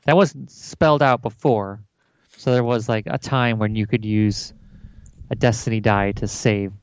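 A man co-hosting a casual tabletop gaming chat, laid-back, chiming in with quick asides and agreements. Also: lowish voice, steady tone, clear, resonant tone, lo-fi sound. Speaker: podcast co-host.

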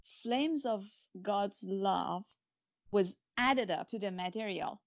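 A woman's voice: medium pitch, takes it slowly, gravelly, muffled recording. A woman speaking English as a second language, an author talking earnestly about faith and her stories in an interview, with many restarts and fillers. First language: not English. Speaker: author and guest. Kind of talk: spiritual interview talk.

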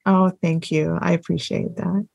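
A woman's voice: on the low side, flat delivery, moderate pace, vocal fry, a little mellow, breathy edge, no echo, dull audio. A middle-aged woman hosting an interview podcast in a conversational, appreciative and encouraging way. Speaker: podcast host.

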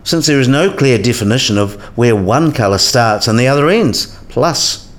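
Radio play professor, male actor as old, kindly, eccentric philosopher, mid-pitched, swooping intonation, teaches by musing aloud. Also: lively intonation, normal speed, lightly acted. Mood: probing, didactic. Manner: musing, didactic. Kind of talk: scripted radio play dialogue.

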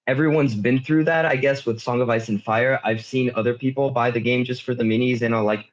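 Guest, adult man, mid pitch, easygoing, muffled lo-fi remote audio. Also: fairly even intonation, brisk. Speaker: guest from a game company. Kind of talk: casual chat.

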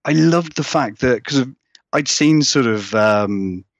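A man's voice: medium pitch, expressive, a little slow, no room sound, highs cut off. A British man chatting casually as an interview guest, rambling and acting out remarks as he goes. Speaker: guest horror writer.

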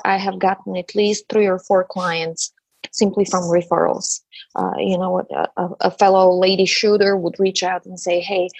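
Husky-voiced Bulgarian-accented woman. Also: medium pitch, a little mellow, dry recording, low-quality recording. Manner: chatty, laughs often, hesitant fillers. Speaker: guest. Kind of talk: conversational interview.

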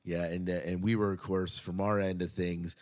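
A man with a fairly low voice hosts a podcast. He chats casually and loosely around his notes, announcing things in an upbeat, talkative way. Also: little pitch movement, normal speed, darkish tone. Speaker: podcast host. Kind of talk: casual scripted podcast chat.

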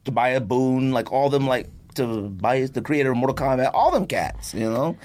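A man's voice: mid-range voice, lively intonation, moderate pace, a little mellow. A man co-hosting a casual podcast chat, slangy and emphatic, often repeating himself for effect. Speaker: podcast co-host. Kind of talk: casual banter about games and nostalgia. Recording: noticeable background noise.

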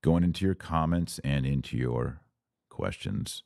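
A man's voice: extremely low pitch, measured pace, creaky, mellow, no echo, studio quality. An American man speaking as a podcast host, giving earnest, emphatic religious commentary that sits between a sermon and live-stream chat. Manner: earnest, emphatic, builds lists phrase by phrase.

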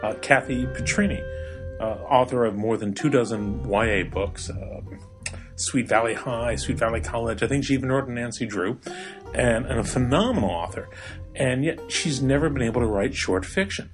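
A man with a medium-pitched voice speaks as an author introducing his work. He is informal, self-effacing and a little hesitant. Guitar music plays clearly behind him. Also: moderate pace. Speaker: author giving notes on his story. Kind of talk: informal spoken author's notes.

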